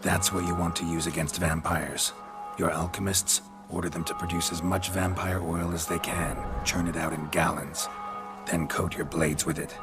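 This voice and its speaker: Game role: gruff male monster hunter acted by a man, deep and gravelly, laconic, unimpressed. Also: moderate pace, loud music behind the voice, close to an everyday voice. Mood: stern, commanding. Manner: terse, clipped, deadpan delivery. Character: gruff, stoic male monster-hunting mercenary in dark fantasy. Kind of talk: video game cutscene acting.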